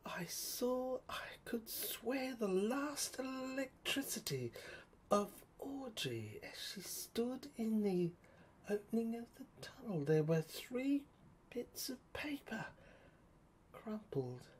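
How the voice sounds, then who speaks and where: A woman narrating an audio play as dramatic first-person storytelling, halting and theatrical, often drifting into whispers. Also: a little high, very animated, slight background noise.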